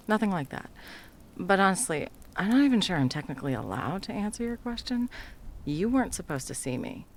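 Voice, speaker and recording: A woman's voice: low-pitched, gravelly, slight background noise, heavily acted. A woman playing a frazzled, sarcastic, foul-mouthed Christmas delivery elf in a whimsical comedic ASMR roleplay, muttering and often dropping to whispers. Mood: awkward, caught out.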